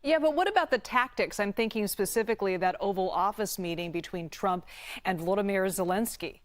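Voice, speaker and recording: A woman anchoring a news interview. Her voice sits at a middle pitch, recorded dry without room sound, as she frames questions for a guest. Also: brisk, no room sound.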